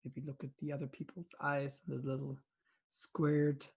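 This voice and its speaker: Soft-spoken man hosting a video call. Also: medium pitch, completely flat delivery, slightly rough. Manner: gentle, unhurried invitations. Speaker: meditation facilitator. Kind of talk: calm group facilitation on a video call.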